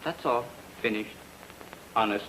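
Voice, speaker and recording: A man's voice: on the low side, unhurried, fairly bright tone, rough recording. A man acting a cagey, mercenary houseboy in a radio drama, speaking clipped broken English. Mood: blunt. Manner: clipped broken English, dropping articles and verb endings. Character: cagey, mercenary male houseboy informant, blunt and eager. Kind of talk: old-time radio character acting. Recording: old radio recording, faint noise.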